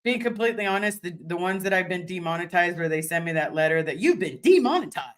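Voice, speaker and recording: A woman with a deep voice, slightly hoarse today, hosting a casual livestream and answering viewer chat in a rambling way, often restarting and repeating words. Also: expressive, fast talker.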